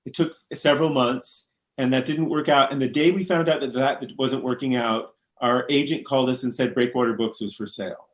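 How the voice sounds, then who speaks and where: A man who grew up in Oregon, a bookstore co-owner, answering interview questions thoughtfully with many pauses. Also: mid-range voice, fairly even intonation. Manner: thoughtful, hesitant, many ums. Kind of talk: casual interview chat.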